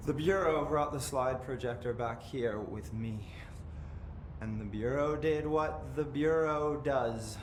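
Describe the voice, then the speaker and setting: An actor playing an eerily calm, detached man who speaks slowly and flatly. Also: mid-range voice, lightly acted. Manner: slow, flat, eerily calm. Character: eerie, detached man, brother held in captivity, possessed. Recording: echoey room with background noise.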